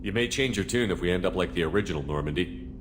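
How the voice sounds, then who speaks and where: Game lead: resolute military commander, very low, brisk delivery, courteous, faint background hum. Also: male voice, expressive. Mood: matter-of-fact. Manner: brisk, composed, matter-of-fact. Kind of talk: video game dialogue acting.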